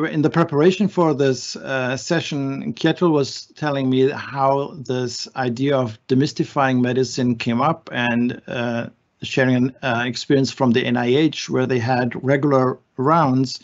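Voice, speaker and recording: A man's voice: medium pitch, a little mellow. A man in his sixties, born in Germany and raised in Brazil, answering seminar questions from his home study over a video call, thoughtful and hesitant with many restarts. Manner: thoughtful, with frequent fillers and restarts. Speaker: physician guest speaker. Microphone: video call webcam. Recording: muffled video call audio.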